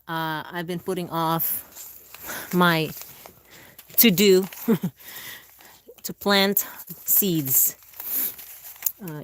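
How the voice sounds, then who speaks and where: Low-voiced woman gardening live. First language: Filipino language. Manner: halting, many fillers and restarts, chatty. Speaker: gardening channel host. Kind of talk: casual live-stream gardening talk. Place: indoors at home.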